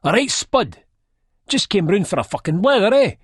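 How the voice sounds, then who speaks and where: An actor playing a menacing, foul-mouthed Scottish ex-convict with a rough Edinburgh voice, in an audiobook. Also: male voice, sits high, big pitch swings, normal speed, a bit bright, dry recording, clean studio sound, exaggerated voice. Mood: gruffly friendly. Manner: aggressive, sweary, confrontational.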